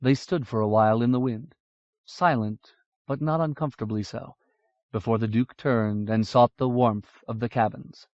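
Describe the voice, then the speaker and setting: Male audiobook narrator, mid pitch, measured, dry sound, lo-fi. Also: steady tone, a little mellow, no echo. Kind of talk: scripted fantasy narration. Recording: dull lo-fi audiobook recording.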